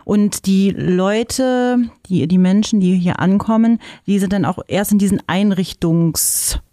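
A woman's voice: mid-range voice, a little slow, mellow, no echo, clean studio sound. A woman asking questions conversationally as an interviewer, searching for her words a little.

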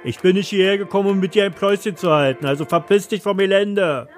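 A man's voice: high-pitched, fast, soft background music, lightly acted. Man playing a menacing, foul-mouthed circus thug. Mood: gruff threat. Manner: threatening, vulgar, commanding. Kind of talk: audio drama acting.

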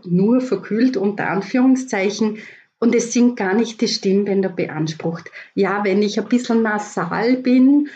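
A woman with an Austrian accent, a voice coach giving calm, explanatory tips in a podcast interview. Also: a little high, highs cut off. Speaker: voice coach guest.

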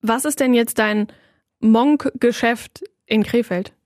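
A woman's voice: fairly high pitch, takes it slowly, a little mellow, dry recording, clean studio sound. A woman, chatting casually as an interviewer, blunt and colloquial with frequent tag questions. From Lower Rhine, Germany. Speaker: interviewer, host. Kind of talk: casual chat.

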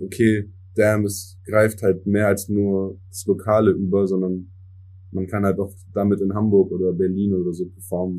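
A young man, a native English speaker, giving relaxed interview answers as a musician and mixing English words into his speech. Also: low voice. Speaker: musician guest.

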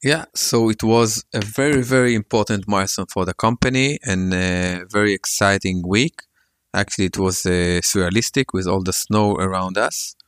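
A soft-spoken co-founder with a dry, close sound and a fairly low voice. He answers interview questions earnestly and hesitantly, filling the gaps with many fillers. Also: moderate pace, clean tone, no echo. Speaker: company co-founder.

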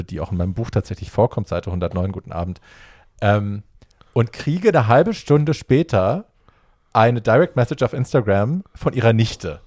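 A young adult man telling a personal story in casual chat, acting out the dialogue with lively energy. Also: on the low side, no echo, clean studio sound.

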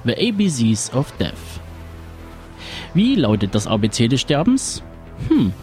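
A man's voice: medium pitch, wildly swooping pitch, measured pace, loud music behind the voice. A man co-hosting a review show, talking about films. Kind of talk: film review talk.

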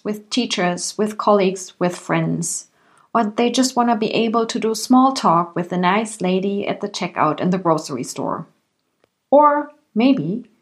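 A woman with a German accent, a native German speaker, hosting her own podcast as an English coach. She speaks carefully and clearly at a measured pace. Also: mid-range voice. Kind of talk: solo podcast monologue.

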